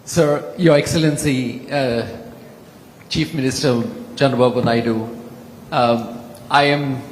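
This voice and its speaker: A man's voice: mid-range voice, fairly even intonation, slow. A middle-aged or older man with an Indian accent, formally and deferentially addressing a leader from the audience as an executive. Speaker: tech executive speaking from the audience. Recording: echoey hall with background noise.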